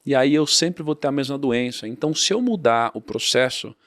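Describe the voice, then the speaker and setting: A man's voice: medium pitch, animated, a little fast, fairly bright tone, dry recording. A Brazilian man speaks on a podcast in an ordinary mid-range voice. He tells his story by building one repeated phrase on the next. The sound is close and dry, with no room around it. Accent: Brazilian Portuguese. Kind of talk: casual podcast talk.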